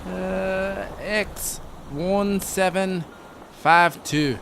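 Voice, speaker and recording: An actor playing a grave, salty fishing boat captain in an old-time radio drama. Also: male voice, sits high, very slow, gravelly, a bit bright, a little hiss or hum, exaggerated voice.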